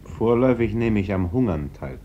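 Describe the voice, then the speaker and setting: An actor playing a gentle, troubled pacifist priest in a radio play, speaking in an earnest, measured, conciliatory way. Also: male voice, on the low side, lively intonation, natural voice. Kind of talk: German radio play acting. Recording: dull, noisy old radio recording.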